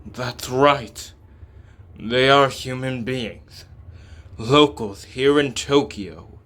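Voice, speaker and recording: Male game surgeon, read by a man, calm and devoted to humans, slow deliberate delivery, explanatory. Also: medium pitch, a little hiss or hum. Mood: calm, resolute. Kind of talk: scripted game dialogue reading.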